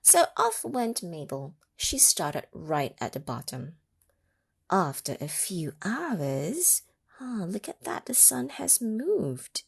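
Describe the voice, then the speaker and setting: A woman reading a picture book aloud to children, pausing to ask them questions and sometimes dropping to a whisper. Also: medium pitch, gravelly, slightly rough, no room sound, studio quality. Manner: animated, asks the listening children questions; drops into whispers for effect. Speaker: children's story reader. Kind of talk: read-aloud storytime for children.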